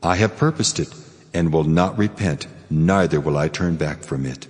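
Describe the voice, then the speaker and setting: A man's voice: a bass voice, moderate pace, a little mellow. A man playing a stern God pronouncing judgment in a dramatized scripture reading, solemn and commanding, in long reverb. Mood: resolute judgment.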